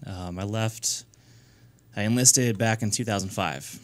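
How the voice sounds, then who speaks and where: Low-voiced man in his thirties. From New Hampshire, US. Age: 30s. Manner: earnest, halting, many fillers and restarts. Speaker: guest, nonprofit founder. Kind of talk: casual radio interview.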